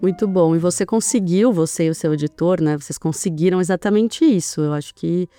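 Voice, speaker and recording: A woman's voice: on the low side, a little fast, dark tone, soft background music. A Brazilian woman podcast host with a Brazilian Portuguese accent, friendly and appreciative toward her guest. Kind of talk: friendly interview hosting.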